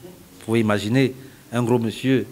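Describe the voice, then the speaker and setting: A man's voice: mid-range voice, fairly even intonation, normal speed, darkish tone, slight background noise. A middle-aged man from Cameroon answering interview questions, explaining step by step and repeating phrases for emphasis. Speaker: interviewee, company founder.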